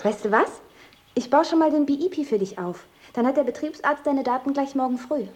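An actress in a German radio drama playing a caring but firm, no-nonsense friend, speaking directly and insistently in a naturalistic style. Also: female voice, sits high, a little fast, loud music behind the voice, natural voice. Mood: practical.

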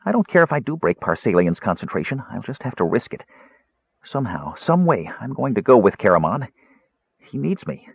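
A man voicing an excitable, self-scolding little kender who has become a mouse, talking eagerly to himself in an audiobook story. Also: medium pitch, brisk, vocal fry, breathy edge, no echo, phone-quality sound, pitched above the performer's own voice, exaggerated voice. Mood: worried, determined.

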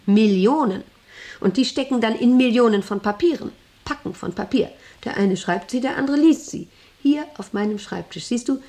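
An actress playing a domineering, rambling factory director in a radio drama, speaking in a condescending, probing way with abrupt commands. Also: female voice, mid-range voice, a little mellow, lightly acted. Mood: lecturing. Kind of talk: German radio drama acting.

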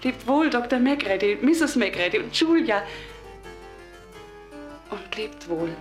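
An actress playing a meek, devout, gravely ill wife in a radio drama, solemn and fervent, halting and at times dropping towards a whisper. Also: female voice, a little high, animated, soft background music. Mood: tender, wistful.

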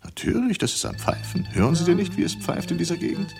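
An agitated man keeping watch at a window. His voice swings wildly in pitch with a creaky edge, full of questions and repeated exclamations. Music runs behind this absurd seventies radio play. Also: big pitch swings, quick pace, heavily acted. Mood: uneasy. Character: agitated male window-watcher, alarmed at strange sights outside.

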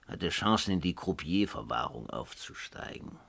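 A man acting in a German radio play as a self-satisfied, hard-hearted government minister, pompous and dismissive, with a gravelly, husky voice. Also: deep voice, lively intonation, moderate pace, a bit bright, full character voice. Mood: smug.

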